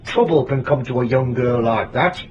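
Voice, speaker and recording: An actor playing a smooth-talking, flattering male detective agency boss in radio drama, glib and theatrical. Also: medium pitch, moderate pace, far from the mic, lightly acted. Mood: glib, wheedling. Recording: muffled, off mic, faint hiss.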